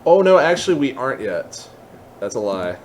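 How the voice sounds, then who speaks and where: A man gives an informal tutorial walkthrough, explaining each step as he goes. His pitch moves around a lot, and he often reasons out loud, admitting what he does not know. There is faint noise behind his voice. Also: big pitch swings, normal speed. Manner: thinking aloud, openly tentative about details. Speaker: tutorial presenter.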